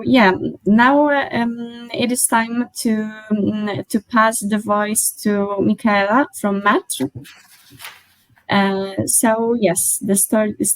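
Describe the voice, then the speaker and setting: A woman moderating an online roundtable, speaking in a halting, hesitant way with many fillers. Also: mid-range voice, dry recording, low-quality recording.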